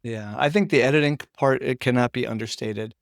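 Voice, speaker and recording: A man's voice: medium pitch, brisk, creaky, dry recording, clean studio sound. A man hosting a casual interview chat, speaking agreeably as he responds and asks questions. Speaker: interviewer, host.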